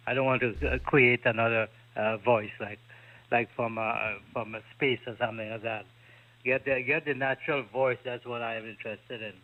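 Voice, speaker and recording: A man from Ontario calling into a ham radio chat, with a squeaky voice and halting, repetitive speech. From Ontario, Canada. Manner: halting, repeats words. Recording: tinny radio transmission.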